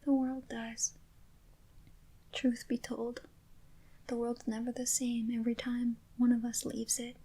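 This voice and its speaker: A woman speaking in a soft, gentle whisper, reassuring a listener in a comforting ASMR roleplay. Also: on the high side, monotone, measured pace, long pauses, breathy edge, poor audio.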